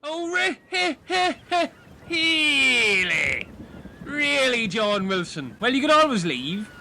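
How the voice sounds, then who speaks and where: A petty, sarcastic castaway man played by a male comic with a high, bright voice and stammering, needling delivery. It is an audio comedy with a faint noise on the recording. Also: sing-song, slow, vocal fry, exaggerated voice.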